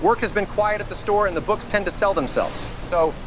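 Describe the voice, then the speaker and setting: A man's voice: sits high, a little fast, natural voice. An actor voicing an earnest, naive and childlike male ghost hunter enthusiast in a motion comic. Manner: earnest, guileless. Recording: clear background noise and ambience.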